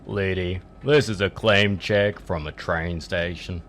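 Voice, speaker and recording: Gruff dry-cleaner man acting for noir radio play, extremely low, grumpy and curt, faint noise behind. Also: steady tone, slow pace, fairly bright tone. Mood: surly. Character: gruff, surly, sweaty male dry-cleaner clerk. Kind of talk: noir radio drama dialogue.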